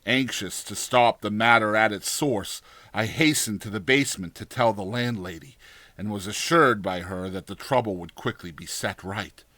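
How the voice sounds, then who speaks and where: Low, forceful male horror narrator. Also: moderate pace, no room sound. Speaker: audiobook narrator. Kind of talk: dramatized horror story narration.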